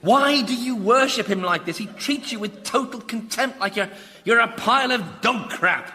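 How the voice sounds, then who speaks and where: Very high-voiced, exasperated hell resident. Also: male voice, normal speed, sharp tone, full character voice. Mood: appalled, exasperated. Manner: exasperated, urgent pleading. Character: appalled, decent soul in hell. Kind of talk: radio comedy drama acting. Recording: reverberant hall, crowd and faint noise.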